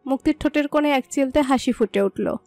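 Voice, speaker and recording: A woman's voice: high voice, fast. A woman narrator reading a scripted romantic story. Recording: dry voice over soft music.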